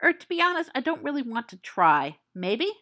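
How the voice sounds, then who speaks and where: A woman reading the lines of an injured teenage werewolf boy who speaks softly and wryly, playing down his pain. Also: high-pitched, sing-song, moderate pace, voice pushed up. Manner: soft, wry, understated.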